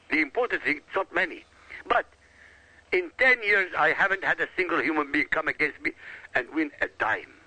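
Sharp, gravelly man. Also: on the high side, no room sound, poor audio. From Iraq. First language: Arabic. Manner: emphatic, insistent and combative. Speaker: radio guest. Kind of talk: argumentative radio talk.

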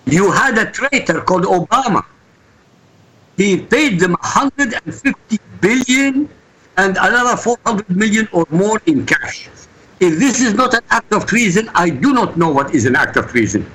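A man's voice: high-pitched, big pitch swings, normal speed, fairly bright tone, breathy edge, rough recording. A man from Iraq, a guest answering interview questions in an emphatic, insistent way. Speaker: guest commentator.

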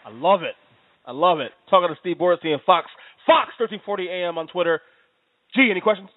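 High-voiced dude host, stammers a lot, dry phone-like sound. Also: male voice, a little fast, no room sound. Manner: stammering, laid-back. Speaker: podcast host. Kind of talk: casual sports talk. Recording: phone-like lo-fi audio.

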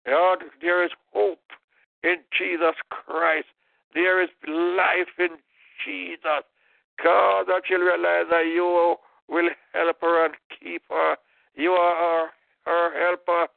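A man's voice: a little high, vocal fry, no room sound. A man leading a fervent intercessory prayer, impassioned, repeating "oh God" and "in the name of Jesus". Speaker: prayer leader. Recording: tinny phone-line prayer call.

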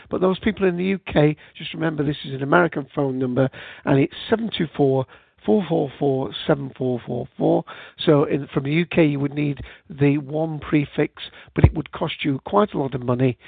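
Gravelly man hosting over a tinny line. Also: a little high, dry recording. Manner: rambling, with frequent uh fillers. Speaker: podcast host. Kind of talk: casual solo podcast talk. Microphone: USB headset.

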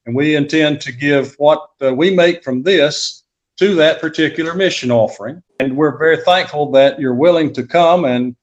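A man with a somewhat high voice speaks as a pastor being interviewed. His talk is conversational, with courteous and slightly formal phrasing. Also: moderate pace, muffled recording.

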